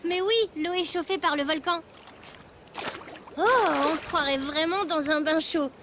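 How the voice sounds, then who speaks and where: Very high-pitched, eager cartoon child. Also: female voice, fairly even intonation, a little slow, noisy background. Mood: cheerful, eager. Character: curious, cheerful young child adventurer in an educational cartoon. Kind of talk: animated children's cartoon dubbing. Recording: music behind the voice.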